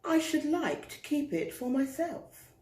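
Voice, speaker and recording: A woman voicing a haughty, teasing female giraffe in a children's story, with grand, drawn-out words. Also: high voice, big pitch swings, darkish tone, roomy sound, pitched above the performer's own voice.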